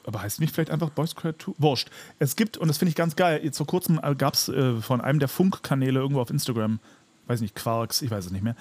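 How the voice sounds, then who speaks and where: Higher-voiced man chatting, close dry sound. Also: a little mellow, dry recording. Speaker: podcast co-host. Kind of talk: casual chat.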